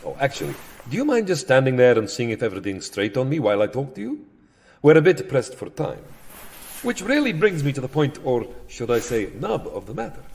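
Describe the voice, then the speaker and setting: A sardonic old vampire count for a comedy audio play, wildly swooping pitch, bit husky, fussy and wordy. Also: male voice, wildly swooping pitch, a little fast, darkish tone, full character voice. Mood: fussy, irritable. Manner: theatrical, wry, fussily verbose. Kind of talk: comedic audio drama acting.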